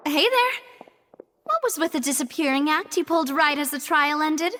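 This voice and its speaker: An actress playing a spirited, sentimental young detective in a video game, friendly and expressive in a polite way. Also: female voice, sits high, moderate pace, long reverb tail, very noisy. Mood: bright, inquisitive. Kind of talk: video game story voice acting.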